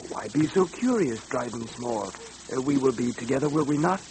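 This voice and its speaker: An actor playing a mysterious caped man in an old radio drama, theatrical, formally courteous and quietly menacing. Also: mid-range voice, expressive, normal speed, lightly acted. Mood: silky threat. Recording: noisy old radio recording, hum.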